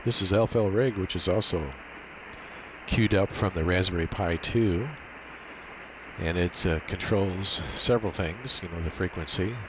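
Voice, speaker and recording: A man with a deep, low-pitched voice talks through a technical demonstration. He explains methodically, one step at a time, without a script. A clear layer of hissy noise sits behind his voice. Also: moderate pace. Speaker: amateur radio demonstrator. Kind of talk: unscripted technical walkthrough. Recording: steady hiss and noise behind voice.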